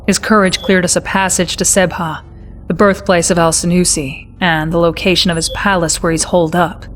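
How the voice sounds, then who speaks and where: A woman's voice: on the low side, flat delivery, normal speed, a little hiss or hum, natural voice. A woman playing an earnest, patriotic military intelligence officer, formally briefing a commander in a game cutscene. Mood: resolute. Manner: formal, addresses listener as sir.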